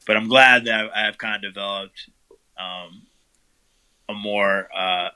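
Man, band vocalist, very bright tone, lowish voice, casual rambling answers. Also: measured pace, clear, resonant tone, low-quality recording. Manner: relaxed, rambling, many fillers.

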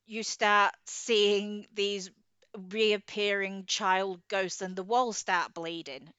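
A woman's voice: mid-range voice, little pitch movement, slow, sharp tone, clean tone, no echo, lo-fi sound. A woman co-hosting a podcast in a casual, rambling, enthusiastic chat, giving recommendations. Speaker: podcast co-host.

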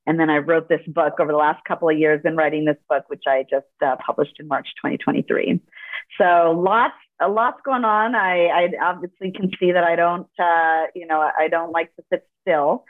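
Low-voiced woman on a tinny call line. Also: moderate pace, no echo. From Los Angeles, United States. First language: Spanish. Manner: upbeat, fluent storytelling with occasional fillers. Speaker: podcast guest, corporate lawyer and author. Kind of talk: interview conversation. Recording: dry, phone-like narrow sound.

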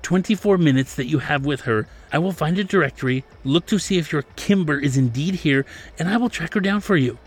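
A man's voice: a little high, fairly quick, pitched above the performer's own voice, close to an everyday voice. A man voicing a stern, formal middle-aged male manager who lectures earnestly and insists on proper manners. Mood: pleading. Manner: lectures earnestly, addresses him as sir.

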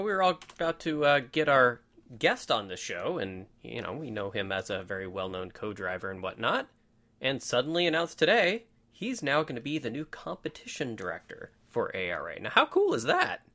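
A man's voice: mid-range voice, very animated, normal speed, pushed and bright. A man hosting a rally podcast, chatting in an upbeat, easygoing way and laughing easily. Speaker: podcast host. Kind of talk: casual podcast chat.

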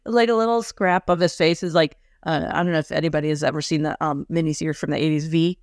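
A woman's voice: deep voice, fairly even intonation, quick pace, no echo, studio quality. A woman co-hosting a podcast, chatting casually and animatedly as she recaps a TV episode, laughing often. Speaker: podcast co-host.

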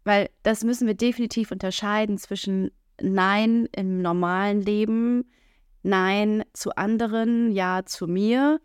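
A woman co-hosts a relaxed talk podcast, speaking in a frank, earthy way. She drops frequent filler sounds and now and then acts out quoted lines. The recording is dry and close, with no room echo. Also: medium pitch, a little slow, clear, resonant tone. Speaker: podcast co-host. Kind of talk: casual podcast conversation.

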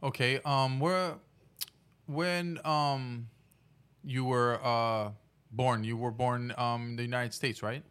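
A man's voice: medium pitch, steady tone, takes it slowly, a bit bright, clean tone. A young man hosting a podcast, asking questions casually, hesitant, with many fillers and restarts. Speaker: podcast host. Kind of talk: casual interview.

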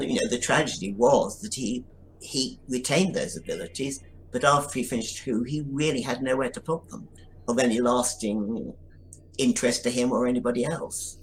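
Male writer, interview guest, reflective, middle pitch, faint noise. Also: poor audio. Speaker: writer of an audio drama. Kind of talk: podcast interview.

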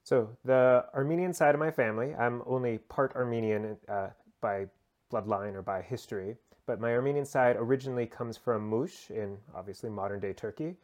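A man from the United States answers an interview over a remote call, speaking at a mid pitch. His delivery is loose and conversational, full of hesitations and small restarts as he thinks aloud. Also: normal speed, a little mellow. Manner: conversational, many uh fillers and restarts. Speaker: guest, human rights lawyer.

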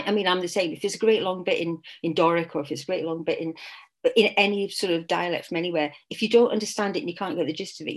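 A middle-aged English woman author with traces of a Scottish accent, chatting casually in an interview, laughing often and restarting her sentences. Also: fairly low pitch. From England.

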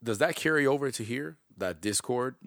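A man in his thirties hosting a conversational interview, asking questions and reflecting in a relaxed way, often tagging "right?". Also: medium pitch, moderate pace, no echo, clean studio sound. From United States. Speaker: interview host.

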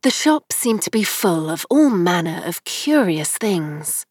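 A woman's voice: fairly low pitch, big pitch swings, unhurried, a little mellow, breathy edge, dry recording, studio quality. A woman reading a children's story aloud as an audiobook narrator. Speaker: audiobook reader.

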